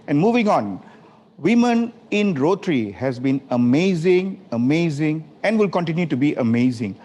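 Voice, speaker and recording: A man's voice: fairly high pitch, very animated, normal speed, a little mellow. A man working as the emcee of an online conference, reading scripted links in an upbeat, emphatic way. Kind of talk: scripted event announcing.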